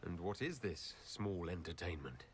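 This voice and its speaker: An actor playing a composed, gallant male leader in a video game, measured and courteous. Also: low voice, animated, a little hiss or hum. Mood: warm, wry. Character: composed, gallant heroic leader, adult man. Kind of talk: video game cutscene dialogue.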